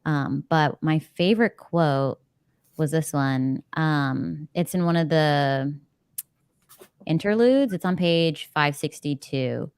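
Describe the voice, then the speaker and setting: A woman hosting a casual book club chat over a video call, talking in an exclamatory, animated way. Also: deep voice, slow pace, smooth, no echo. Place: indoor room, video call.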